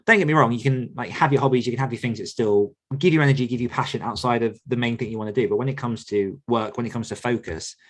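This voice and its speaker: A man's voice: medium pitch, quick pace, hardly any pauses, a bit bright. A man answering questions conversationally as an author coach, reflective and earnest, often circling back to rephrase himself.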